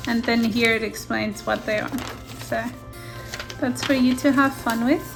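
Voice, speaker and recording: A woman whose first language is Afrikaans, gently showing a handmade journal page by page in a casual flip-through. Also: a little high, steady tone, gravelly, music in the background. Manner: gentle, softly enthusiastic, unhurried. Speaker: junk journal maker. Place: indoors at a craft table.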